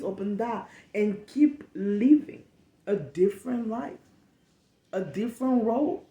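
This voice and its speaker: A woman's voice: mid-range voice, mellow, roomy sound. A woman in her late twenties from Cameroon with a Cameroonian accent, hosting a candid solo podcast, frank and emphatic with repetition. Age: late 20s. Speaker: podcast host.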